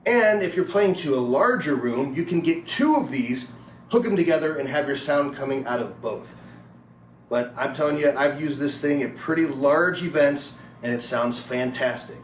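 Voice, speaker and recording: A man's voice: fairly high pitch, normal speed. Man presenting in a roomy, distant recording. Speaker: product reviewer. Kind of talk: casual product review and demonstration. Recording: roomy, distant mic with background noise.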